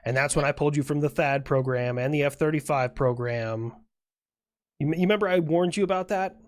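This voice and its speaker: Satirical skit explainer: middle pitch, conversational, wry, matter-of-fact delivery. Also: fairly quick.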